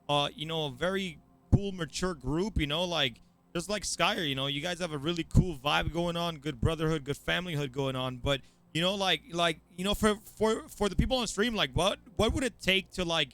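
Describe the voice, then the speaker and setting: A man's voice: a little high, normal speed, a bit bright. A man from the US Virgin Islands hosting an esports broadcast, stammering and repeating words in a loose, slangy chat. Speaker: esports commentator and host. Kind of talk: casual interview chat.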